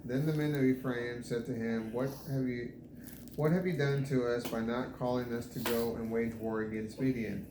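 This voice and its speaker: A man reads scripture aloud at an ordinary middle pitch. His delivery is halting, with stumbles over difficult names. The recording is echoey, with clear noise behind him. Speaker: scripture reader. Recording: echoey room with background noise.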